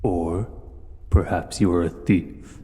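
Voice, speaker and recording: Deep and unhurried, this is a huge ancient dragon speaking in an audio roleplay. The voice is dark and very slow, with a patient, taunting menace. It rolls through a long cavernous reverb. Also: male voice, fairly even intonation. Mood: musing, suspicious. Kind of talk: scripted audio roleplay acting. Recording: cavernous long reverb, some background noise.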